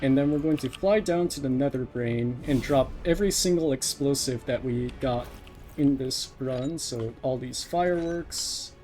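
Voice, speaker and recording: A man's voice: medium pitch, normal speed, a little mellow, faint music behind. A man giving casual live commentary as a speedrunner, explaining each step as he plays.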